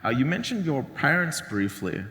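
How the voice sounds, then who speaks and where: A man hosting an onstage interview, asking measured questions with a few fillers. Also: fairly high pitch, big pitch swings, bright, forceful tone. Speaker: interview host. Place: large hall. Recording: echoey hall with long reverb.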